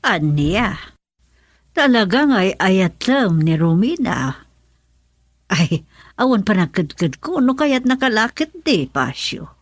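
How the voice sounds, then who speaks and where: A woman's voice: medium pitch, very animated, takes it slowly, long pauses, husky, no room sound, studio quality, full character voice. An actress playing an insistent, nagging middle-aged mother in a radio drama, with an Ilocano accent. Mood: scheming. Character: insistent middle-aged mother pushing her daughter to marry.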